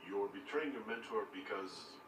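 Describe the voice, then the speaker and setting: A man playing a blunt, steady, businesslike hero and leader in a fantasy video game. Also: on the low side, normal speed, natural voice. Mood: questioning. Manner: direct, businesslike. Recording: roomy, distant, noisy game capture.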